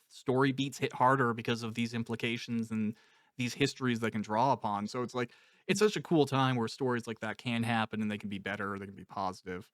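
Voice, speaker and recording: A man's voice: mid-range voice, fairly bright tone, no echo, clean studio sound. A man hosting a casual interview, talking in an informal, rambling way as he thinks aloud. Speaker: interviewer, podcast host.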